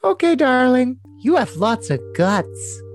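A man's voice: very high voice, sing-song, slow, heavily acted. A man voicing a lovesick, scheming young woman in a cutesy, coy, overly dramatic comic reading of messages. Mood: sweetly plaintive. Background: music.

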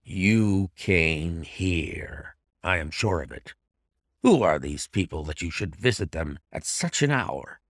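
A man's voice: low voice, animated, slow pace, a bit bright, no room sound, clean studio sound, pitched below the performer's own voice, full character voice. A man playing a troubled, suspicious husband who speaks formally and insistently in an audiobook mystery. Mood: accusing. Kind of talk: dramatized audiobook reading of a classic mystery story.

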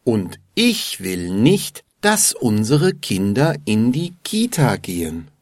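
A man playing a stubborn husband in a slow, scripted dialogue for learners. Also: lowish voice, big pitch swings, darkish tone, no room sound, clean studio sound, heavily acted. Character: stubborn husband arguing with his wife.

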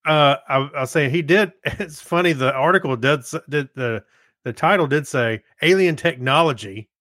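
A man's voice: on the high side, moderate pace, pushed and bright, dry recording, clean studio sound. A man co-hosting a podcast, reading news and bantering in a loose, jokey, amused manner. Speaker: podcast co-host.

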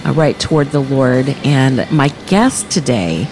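A woman's voice: extremely low pitch, very animated, a little slow. Podcast host woman in noisy café. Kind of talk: casual faith interview. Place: coffee shop. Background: café chatter and music.

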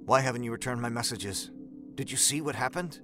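Clingy male suitor played by a narrator, hushed and coaxing, audio horror drama, music behind. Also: mid-range voice, fairly quick, lightly acted. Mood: insistent, hushed. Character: clingy, insistent young man pursuing a woman.

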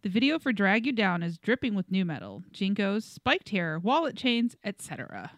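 A woman with a mid-range voice co-hosts a podcast in a relaxed chat format. She reads out listener feedback and comments on it informally and jokingly. The recording is very dry. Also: mid-range voice, moderate pace, no room sound. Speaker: podcast co-host.

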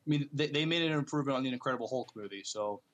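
A man co-hosting a podcast, talking casually about films in a somewhat halting way. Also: mid-range voice, fairly bright tone, lo-fi sound. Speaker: podcast co-host. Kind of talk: casual movie news chat.

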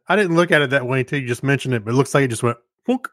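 A man's voice: medium pitch, expressive, rapid-fire, fairly bright tone, no room sound, studio quality. A man in casual conversation as a podcast co-host, tentatively thinking aloud. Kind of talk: casual chat.

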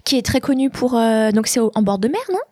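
A woman's voice: on the high side, fast, a little mellow, dry recording. Curious woman interviewer, dry close sound. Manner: friendly, curious questioning. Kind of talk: casual interview conversation.

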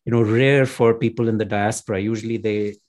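A man answering interview questions as an author and academic, speaking in an articulate, measured way with light laughs. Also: on the low side, fairly even intonation, darkish tone. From United States and Pakistan.

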